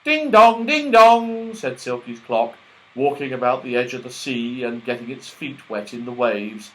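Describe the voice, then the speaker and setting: A man's voice: mid-range voice, level tone, normal speed, poor audio. A man narrating a children's story expressively, as an audiobook reader.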